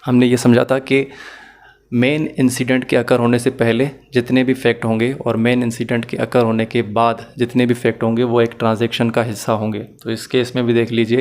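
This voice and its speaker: A man's voice: medium pitch, monotone, fairly quick, a little mellow, slight background noise. A man explaining material as a law teacher in an online lecture, methodically repeating and rephrasing points and mixing in English phrases.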